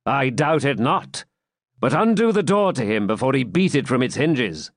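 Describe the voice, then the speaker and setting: A man voicing a dignified, probing knight in an audiobook, with formal, measured old-fashioned speech. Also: medium pitch, sing-song, dry recording, clean studio sound, pitched above the performer's own voice. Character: dignified, courteous, probing male champion knight. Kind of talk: audiobook character voice.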